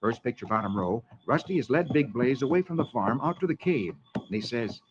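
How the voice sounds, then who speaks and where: A man's voice: mid-range voice, expressive, a little fast, over loud music. An American man hosting and narrating comic-strip stories in 1940s children's radio style. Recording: muffled, noisy vintage radio recording.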